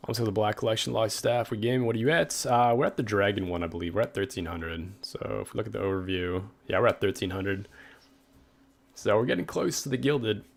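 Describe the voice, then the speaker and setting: Low gravelly man streaming casually. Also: fairly quick. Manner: relaxed, rambling, reads chat aloud. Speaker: gaming livestreamer. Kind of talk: casual livestream chat. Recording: faint game music behind.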